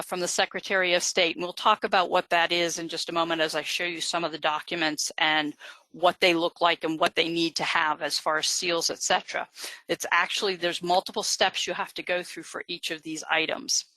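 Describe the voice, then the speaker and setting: Female webinar presenter, lower-pitched and bright, dry studio sound, patient instructive delivery. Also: no room sound, low-quality recording. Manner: explanatory, many fillers. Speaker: genealogist presenter. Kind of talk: live webinar lecture.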